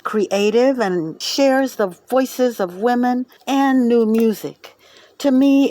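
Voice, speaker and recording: A woman's voice: medium pitch, a little mellow. A woman reading a sincere, earnest endorsement of a radio station. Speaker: listener giving a station promo. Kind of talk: read promo.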